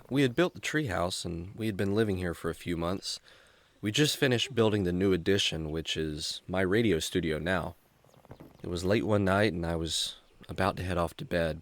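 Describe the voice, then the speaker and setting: Guy acting as earnest young explorer type for a kids radio story, low pitched, friendly, clean-cut storyteller. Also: moderate pace. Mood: somber storytelling. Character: Jack, friendly earnest young adventurer living in a treehouse.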